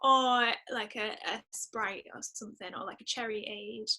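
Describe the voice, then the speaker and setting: A woman chatting casually as a guest in an interview, answering in an enthusiastic, expressive way. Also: a little high, normal speed. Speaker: podcast guest; musician.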